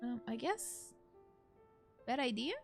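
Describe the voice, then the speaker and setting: A woman's voice: fairly high pitch, animated, slow pace, vocal fry. A woman giving casual, playful reactions as she plays a video game, giggly and given to exclaiming. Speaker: let's play streamer. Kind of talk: casual gameplay reaction commentary. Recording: game music behind the voice. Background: video game music.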